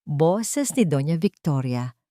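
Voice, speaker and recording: A woman's voice: deep voice, lively intonation, slow, warm tone, dry recording, clean studio sound. A woman narrator telling a scripted romantic drama in a dramatic storytelling style.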